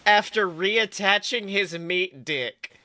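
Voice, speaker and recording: A man's voice: high-pitched, takes it slowly, bright, forceful tone, no echo. A young man co-hosting a podcast, chatting casually and swearing freely, with frequent laughs. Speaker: podcast co-host. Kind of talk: casual chat and reading fan fiction aloud.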